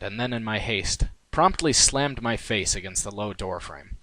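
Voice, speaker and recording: A man narrates a first-person comic story in a fairly low voice. His delivery is wry and conversational, like an amateur author reading his own work. The recording is close and dry. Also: a bit bright, dry recording, rough recording. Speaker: reader of own fan fiction.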